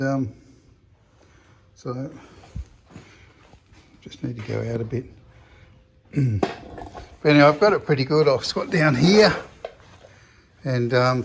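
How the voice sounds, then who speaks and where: An Australian man with a croaky voice talking casually about his restoration work, rambling and chatty with a self-deprecating humour. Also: mid-range voice, a little hiss or hum. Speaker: car restoration vlogger. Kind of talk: casual workshop commentary. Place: home shed.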